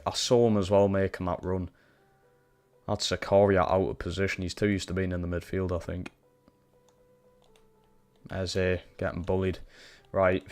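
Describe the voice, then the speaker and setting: Deep-voiced Geordie man gaming live. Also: darkish tone. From Newcastle, England. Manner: sweary, frustrated reactions to play. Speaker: streamer playing a football career mode.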